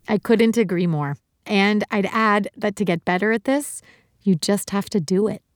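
A woman from Uruguay, the host of a podcast, reading scripted narration in a clear, evenly paced delivery. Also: medium pitch, normal speed, no echo, clean studio sound. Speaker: podcast host and executive producer.

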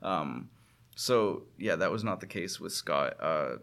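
A man's voice: low-pitched, animated, takes it slowly, vocal fry, fairly bright tone. A man in a casual conversation about music, talking as a guest musician, earnest and enthusiastic, often rephrasing as he goes.

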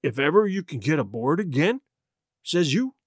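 Storybook castaway pirate, played by a male narrator, hoarse and rusty, half-crazed and sly. He drawls out rustic sailor talk with a childlike eagerness, swinging widely in pitch, recorded dry and close. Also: very animated, dry recording. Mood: sly, pleased. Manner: rustic sailor speech, slow and drawn-out.

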